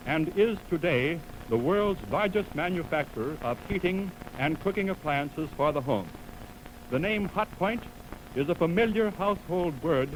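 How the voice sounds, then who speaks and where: A man working as a 1920s radio announcer, reading a sponsor script in a formal, measured way on an old noisy recording. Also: on the high side. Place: radio studio. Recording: noisy 1929 radio aircheck on film.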